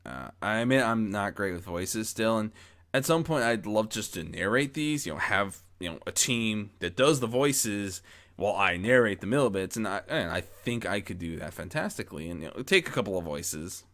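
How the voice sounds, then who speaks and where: A man with a fairly low-pitched voice chats reflectively to his followers. He rambles, doubles back and corrects himself mid-sentence. He speaks close into a boom-mounted microphone with a pop guard. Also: expressive, moderate pace, a bit bright. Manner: rambling, self-correcting, frequent false starts. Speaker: amateur audio story narrator and channel host. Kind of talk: casual reflective monologue to followers. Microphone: close mic on boom arm with pop guard.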